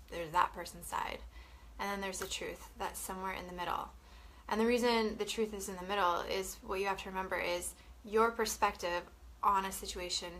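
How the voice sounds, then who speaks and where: A woman's voice: medium pitch, a bit bright, a little hiss or hum. A young woman from Canada with a head cold, sounding sniffly, talking casually and chattily to camera. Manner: chatty, swearing, frequent "like" and "you know". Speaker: wellness vlogger.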